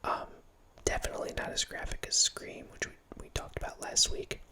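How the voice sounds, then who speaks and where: Man whispering close to the mic. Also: brisk. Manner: soft unvoiced whisper throughout, rambling with self-corrections and small laughs. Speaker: ASMR channel host. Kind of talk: whispered ASMR ramble. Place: small home room with bookshelves, indoors. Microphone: close studio microphone, wearing headphones.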